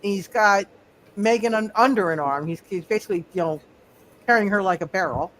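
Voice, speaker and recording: A man refereeing a casual tabletop roleplay, halting and often repeating his words and phrases. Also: sits high, fairly bright tone, a little hiss or hum, poor audio. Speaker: game master of a live superhero tabletop roleplay. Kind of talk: casual, improvised game refereeing.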